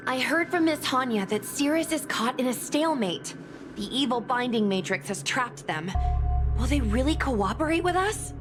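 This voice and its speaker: A woman voicing a serious, level-headed young investigator in a video game, measured and matter-of-fact. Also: sits high, expressive. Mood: doubtful. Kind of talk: video game character dialogue. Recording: music behind the voice. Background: game music.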